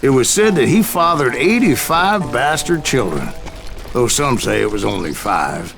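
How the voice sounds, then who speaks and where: Game narrator: grizzled older gunslinger, American Western drawl, wry storyteller, medium pitch, heavy music bed. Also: male voice, big pitch swings, creaky. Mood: wry, boastful. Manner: folksy, wry, unhurried storytelling. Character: grizzled older male Wild West bounty hunter, boastful storyteller. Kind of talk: dramatic first-person game narration. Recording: loud music under the voice.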